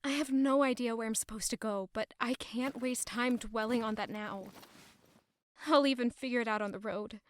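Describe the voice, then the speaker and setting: A woman's voice: fairly high pitch, normal speed, slight background noise, natural voice. A woman playing an anxious, self-doubting young noblewoman in an audio drama, with a dramatic inward delivery. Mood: anxious, resolute. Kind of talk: audio drama narration and acting.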